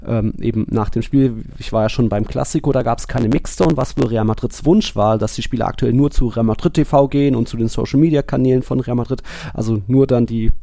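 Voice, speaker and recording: Man, football podcast co-host, mellow tone, very dry sound, opinionated casual chat. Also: medium pitch, steady tone, fairly quick, vocal fry, dry recording.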